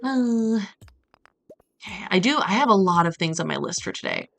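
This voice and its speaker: A woman from Brazil chatting casually as she streams a game, telling stories in an animated way with playful asides. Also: medium pitch, normal speed, creaky. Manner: animated storytelling with acted-out quotes and playful asides. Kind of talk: casual chat while playing a game on a livestream.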